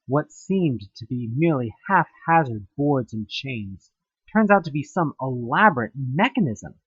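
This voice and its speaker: A man's voice: mid-range voice, slightly rough, no room sound, highs cut off. A man reading a scripted second-person story as a narrator, with a theatrical, emphatic delivery that draws out words. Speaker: audio play narrator.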